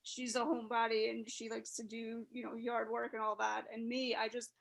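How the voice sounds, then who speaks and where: Guest, woman, early 30s, gravelly fry, relaxed chatter. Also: on the high side, normal speed. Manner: chatty, many "you know" fillers. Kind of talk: casual chat.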